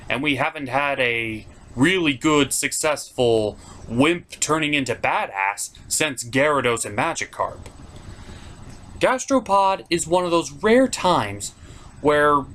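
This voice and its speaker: Male countdown host, very lively pitch, mid-range voice, informal, emphatic, opinionated gaming commentary. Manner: informal, emphatic, with mild swearing.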